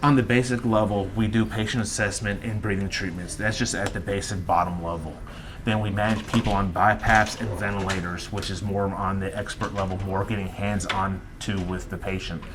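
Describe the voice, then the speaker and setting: A man's voice: on the low side, fairly even intonation, a little fast, background noise. A man working as a respiratory therapist, explaining his work in a plain, casual way with occasional fillers. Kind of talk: casual workplace interview.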